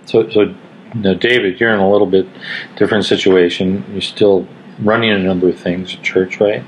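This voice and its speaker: A man's voice: low voice, fairly even intonation, moderate pace, a little hiss or hum, low-quality recording. An older man hosting a casual living-room podcast discussion, affirming and drawing out his guests. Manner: affirming, encouraging, frequent "right". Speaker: podcast host. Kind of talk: casual interview.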